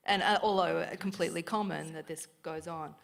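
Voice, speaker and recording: A woman, speaking earnestly on a live panel with halting restarts. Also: lowish voice, steady tone, vocal fry.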